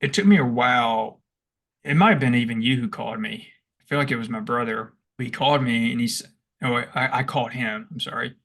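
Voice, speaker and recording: A young man co-hosting a podcast, telling stories in a casual, animated way and acting out what he shouted. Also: mid-range voice, brisk. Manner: animated, acts out past shouts; rambling with restarts and laughs. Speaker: podcast co-host. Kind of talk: casual storytelling chat.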